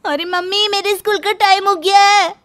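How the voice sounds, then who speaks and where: A woman's voice: very high-pitched, steady tone, normal speed, a bit bright, pitched above the performer's own voice. A woman voicing a fed-up, demanding young housewife who whines and complains in a cartoon story. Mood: bitter, grumbling. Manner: whining, complaining. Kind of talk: Hindi cartoon story acting.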